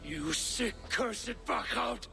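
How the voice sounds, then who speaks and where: An actor playing a stern, battle-weary Viking warrior in a video game, solemn, terse and menacing. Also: male voice, extremely high pitch, expressive, takes it slowly, gravelly, over music, heavily acted. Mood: furious. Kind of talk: dramatic video game acting.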